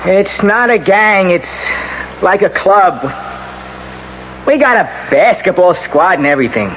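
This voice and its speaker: A defiant young American street kid in an old radio play. His slangy protests jump around animatedly in pitch. The muffled broadcast carries some background hiss. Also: lively intonation, slow, a bit bright, full character voice. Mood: indignant, evasive. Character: defiant, streetwise young male gang member under arrest. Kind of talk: 1980s radio drama acting.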